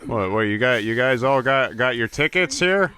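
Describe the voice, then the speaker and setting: A man's voice: medium pitch, expressive, moderate pace, pushed and bright, clean tone, faint music behind. A man giving playful, theatrical let's play commentary, riffing and joking as he goes. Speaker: gaming commentator.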